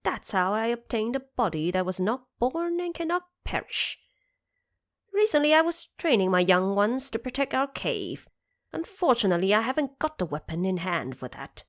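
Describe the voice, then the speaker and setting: A woman's voice: medium pitch, sing-song, moderate pace, no room sound, studio quality, natural voice. A woman voicing a boastful, cocky male monkey king with proud, declamatory delivery in a fantasy audio drama. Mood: polite, proud.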